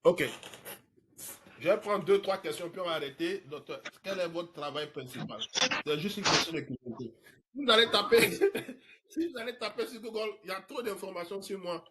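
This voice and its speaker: An emphatic man hosts a live online Q&A, and his voice sits a little on the high side. He repeats himself and slips in English fillers. A faint hiss runs under his voice. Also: animated, normal speed. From Côte d'Ivoire. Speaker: trading course host answering questions.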